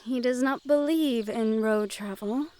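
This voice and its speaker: A woman playing a gentle, curious young noblewoman in a fantasy audio roleplay, speaking to the listener in formal, measured phrases. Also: a little high, close to an everyday voice. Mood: friendly, curious. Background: outdoor garden ambience with birdsong.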